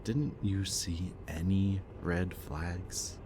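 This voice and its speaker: Very deep slow-talking man. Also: fairly even intonation, background noise. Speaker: villain speaker in audio roleplay. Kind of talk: softly spoken roleplay monologue to the listener.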